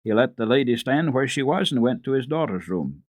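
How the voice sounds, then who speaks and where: A man narrating a children's classic as a radio storyteller, in a measured, expressive, formal reading. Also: medium pitch, dry recording, clean studio sound.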